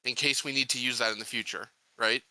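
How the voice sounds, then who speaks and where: Very bright, forceful-toned man deliberating. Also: medium pitch, fairly even intonation, fast, no echo, rough recording. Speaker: tabletop role-playing player. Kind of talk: in-character discussion, casual.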